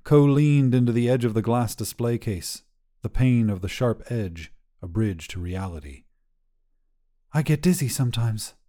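A man narrating scripted literary fiction in a measured, dramatic storytelling manner. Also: on the low side, dark tone. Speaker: narrator reading short fiction.

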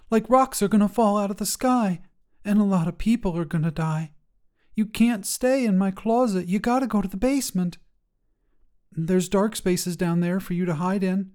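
A man's voice: high-pitched, dark tone, pitched above the performer's own voice, close to an everyday voice. A man voicing an anxious, earnest little girl who pleads in an urgent whisper.

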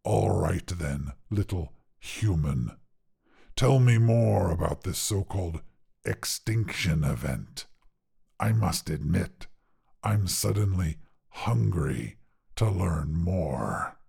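A man voicing a huge, smug, erudite carnivorous dinosaur in a story, with a gravelly, husky growl and pompous, formal phrasing. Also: deep voice, lively intonation, slow, mellow, pitched below the performer's own voice, exaggerated voice. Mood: smug, scheming. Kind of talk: character voice in narrated short fiction.

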